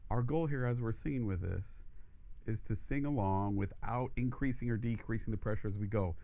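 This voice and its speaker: A male voice teacher talks through an exercise in a calm, steady way, his voice a little croaky. His voice sits fairly low, and the sound is thin and tinny, like a phone line. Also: a little fast. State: croaky from allergies. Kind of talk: instructional demonstration.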